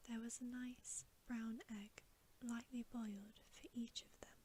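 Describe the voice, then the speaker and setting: A woman reading a bedtime story aloud as ASMR in a soft, breathy, unhurried whisper, close to the microphone. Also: fairly high pitch, little pitch movement, a little hiss or hum.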